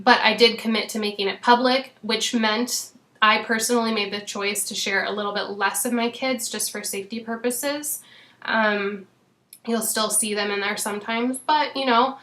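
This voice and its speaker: A woman in her thirties with a hoarse, fading voice talks casually to her coaching team, filling pauses with "um" and "you know". Her pitch sits in a middling range. The room around her sounds echoey. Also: fairly bright tone. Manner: chatty, frequent "um" and "you know". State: losing her voice. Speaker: fitness coach.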